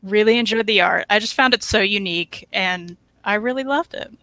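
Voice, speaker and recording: A woman with a bright, crisp-edged voice at a middling pitch, co-hosting a casual review show. She chats informally and enthusiastically, off the cuff, in a dry, close recording. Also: brisk, no room sound. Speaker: co-host of a comic book review show. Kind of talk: casual unscripted chat.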